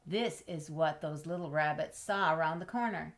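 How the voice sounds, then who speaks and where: A woman with a fairly low voice reads a storybook aloud to children. She is expressive, stretching out key words and pausing for drama. Now and then she steps aside to put questions to her listeners or explain a word. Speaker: storybook reader.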